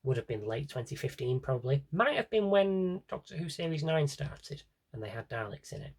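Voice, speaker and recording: A man with a slightly husky voice rambles casually to viewers as a collector, thinking aloud and interrupting himself in a wry way. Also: fairly high pitch, sing-song, moderate pace. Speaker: collector showing his audio drama CDs. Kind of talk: casual rambling vlog chat.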